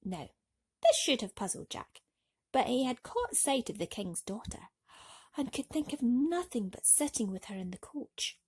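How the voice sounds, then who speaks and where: Woman telling kids a bedtime story, theatrical, bit husky, pitch swings loads. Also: sing-song, moderate pace, a little mellow. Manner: theatrical, addresses young listeners. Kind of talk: animated bedtime story reading for children.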